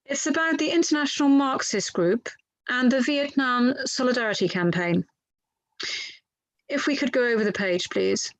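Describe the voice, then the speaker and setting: A woman reading the part of an inquiry lawyer from a transcript, courteous and methodical, slightly halting. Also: on the high side, dry recording, close to an everyday voice, rough recording. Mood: polite, methodical. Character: formal, polite inquiry lawyer questioning a witness.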